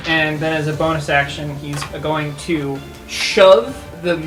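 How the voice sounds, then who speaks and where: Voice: medium pitch, unhurried. A tabletop game player casually calling out attacks and moves. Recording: music bed behind the voice.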